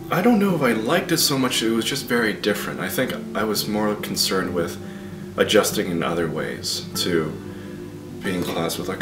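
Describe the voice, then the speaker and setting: A young Canadian man speaks in a very low voice, pausing to think as he recalls his childhood. He sounds distant from the mic in a roomy space, and loud guitar music plays behind him. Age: young adult. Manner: thoughtful, searching for words. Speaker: student. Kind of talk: reflective personal account.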